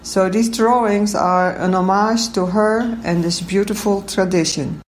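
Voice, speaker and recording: A woman in her sixties from the Netherlands, calmly giving a prepared statement about her artwork. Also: medium pitch, unhurried, low-quality recording. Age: 60s. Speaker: exhibiting artist.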